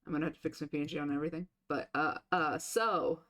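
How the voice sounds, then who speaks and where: A woman streamer chatting casually and rambling with filler restarts, her voice deepened by a sore throat. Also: steady tone, fast, a bit bright. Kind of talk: casual live-stream chat.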